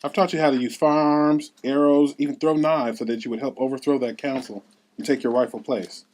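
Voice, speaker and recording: A man's voice: medium pitch, fairly even intonation, fairly quick, darkish tone, close to an everyday voice. Loving father teaching powerful daughters read by a man, earnest and encouraging, table-read drama. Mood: earnest, proud.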